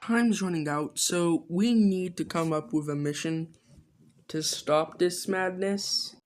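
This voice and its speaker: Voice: mid-range voice, slow pace. A speaker delivering scripted character dialogue.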